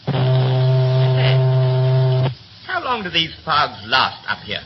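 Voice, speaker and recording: An actor in a 1930s radio play as a young third officer, brisk and slangy, chatty yet scornful and resentful. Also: male voice, medium pitch, sing-song, over music. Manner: brisk, breezy, clipped slangy speech. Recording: muffled, hissy 1930s radio recording.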